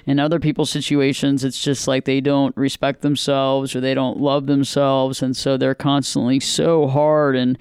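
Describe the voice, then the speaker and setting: A man's voice: medium pitch, level tone, normal speed, hardly any pauses, darkish tone, clean tone, dry recording, clean studio sound. A man hosting a conversation, a therapist who talks earnestly and emphatically, acting out inner voices. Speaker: podcast host and therapist. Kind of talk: casual conversation.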